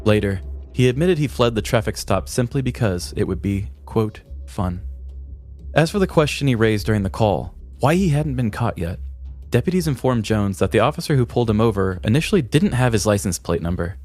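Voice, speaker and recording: Casting: male podcast narrator, 30s, lower register, mock-dramatic comic crime storytelling over light music. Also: lively intonation, fairly quick, a little mellow. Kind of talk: scripted true-crime comedy narration. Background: music bed.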